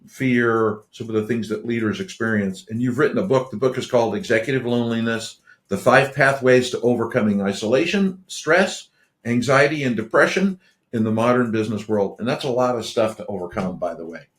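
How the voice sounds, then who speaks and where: A man's voice: medium pitch, a little fast. A man hosting an interview podcast, talking casually and rambling, often repeating himself and restarting sentences. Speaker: podcast host. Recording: roomy echo.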